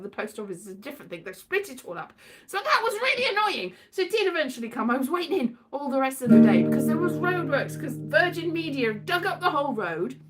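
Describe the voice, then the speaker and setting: British woman, high voice, very lively intonation, theatrical casual storytelling, rambling and comic. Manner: animated, theatrical, acts out exchanges, breaks into sing-song. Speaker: solo host of an audio show.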